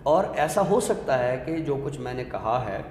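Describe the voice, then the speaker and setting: A man giving an explanatory literary talk, deliberate and didactic, repeating his points for clarity. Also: medium pitch, very animated, a little mellow. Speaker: literary expert guest on a discussion show. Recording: echoey hall-like room with noise.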